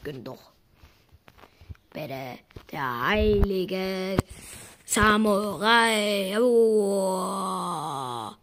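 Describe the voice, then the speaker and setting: Hoarse, excitable young woman gamer. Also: medium pitch, extremely slow, long pauses. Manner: excitable, shrieks and groans at spin results; drops into a raspy near-whisper when speaking quietly. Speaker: gaming YouTuber. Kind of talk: casual gaming commentary.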